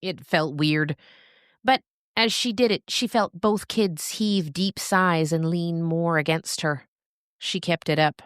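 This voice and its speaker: A woman narrating a scripted story expressively, with dramatic pauses. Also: fairly low pitch, clear, resonant tone, no echo, clean studio sound.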